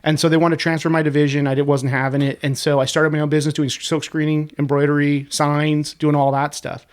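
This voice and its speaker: A man in his forties talks in a slightly raised register, his voice stuffed up and sniffly from a sinus infection. He tells stories quickly and loosely, dropping curse words as a podcast guest. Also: on the high side. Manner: fast, profane, animated storyteller. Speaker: guest, website co-creator. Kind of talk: casual podcast chat.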